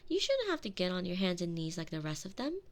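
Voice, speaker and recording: A woman's voice: lowish voice, fast. A woman playing a doting, possessive young noblewoman in a scripted roleplay, spoiled and insistent, speaking in a gushing, coaxing way straight to the listener. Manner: gushing, coaxing, expressive and intimate.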